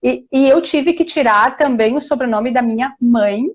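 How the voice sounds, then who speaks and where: A middle-aged woman from Rio Grande do Sul with a southern Brazilian accent, telling her story in a warm, chatty way over a video call, full of restarts and tag questions. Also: on the high side, normal speed, lo-fi sound. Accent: southern Brazilian Portuguese, Rio Grande do Sul. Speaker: historian and author guest. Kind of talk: casual interview storytelling.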